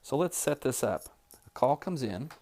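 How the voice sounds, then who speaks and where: A man's voice: mid-range voice, animated, normal speed, a little mellow. A man giving a step-by-step, plain-spoken instructional tutorial as a trainer demonstrating office equipment.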